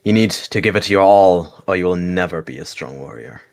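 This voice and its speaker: A man's voice: low voice, moderate pace, lightly acted. A man voicing a stern, disdainful male warrior cat mentor, scornful and demanding, in a roleplay story. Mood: lecturing. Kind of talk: roleplay story with self-narrated actions.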